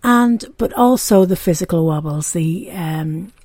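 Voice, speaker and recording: A woman's voice: lowish voice, measured pace, warm tone, no room sound. A woman in her forties with a Dublin Irish accent, hosting her own podcast as a candid, reflective monologue with frequent ums and restarts. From Ireland. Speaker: podcast host.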